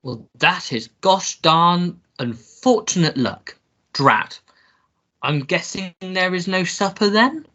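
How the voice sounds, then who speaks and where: Woman playing a pompous, swooping gentleman suitor. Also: fairly low pitch, expressive, dull audio. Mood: put out. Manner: pompous, theatrical, drawn-out. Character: pompous young gentleman suitor, self-satisfied, easily outraged. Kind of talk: melodramatic radio play acting.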